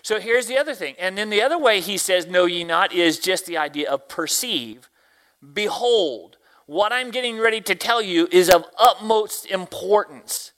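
A man's voice: high voice, animated, moderate pace, fairly bright tone, rough recording. A middle-aged man from Tennessee with a Southern accent, preaching a folksy sermon to a congregation and asking them questions.

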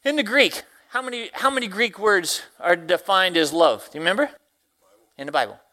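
A man's voice: high-pitched, animated, a little fast, pushed and bright. A middle-aged man from Tennessee with a Southern accent, preaching a folksy sermon to a congregation and asking them questions.

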